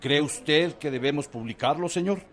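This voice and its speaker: An actor playing an earnest, deferential Mexican newspaper editor in a radio drama, formal and respectful. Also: male voice, fairly high pitch, moderate pace, lightly acted.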